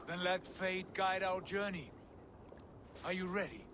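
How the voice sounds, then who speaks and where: An actor playing an ambitious, defiant Viking prince in a video game, speaking in measured, formal declarations. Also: male voice, high-pitched, background noise. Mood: eager. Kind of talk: video game cinematic acting.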